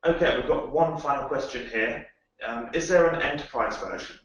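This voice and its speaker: A man's voice: medium pitch, moderate pace, a bit bright. A man reading out audience questions in a brief, matter-of-fact way. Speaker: question moderator. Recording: distant, echoey, dull sound.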